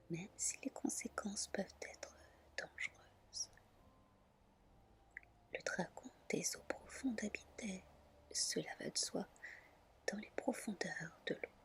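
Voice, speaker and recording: A woman reading tales in a soft, breathy, soothing whisper, as a gentle ASMR storyteller. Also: medium pitch. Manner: slow, gentle, soothing delivery.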